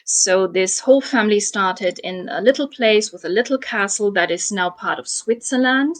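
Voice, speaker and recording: A young adult woman casually telling a history story, with frequent ums and soft laughs. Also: mid-range voice, moderate pace. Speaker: guest storyteller.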